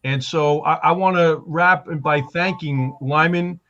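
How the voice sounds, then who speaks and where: A man's voice: a little high, measured pace, a bit bright. A man hosting a panel show, playful and hyped, tossing out nicknames and catchphrases. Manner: hype, playful, calls people baby. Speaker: show host. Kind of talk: casual panel chat. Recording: slightly dull sound with faint noise.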